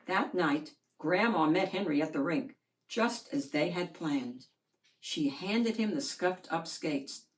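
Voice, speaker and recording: A woman reading a children's picture book aloud in an expressive, deliberate storytelling manner, drawing out words. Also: deep voice.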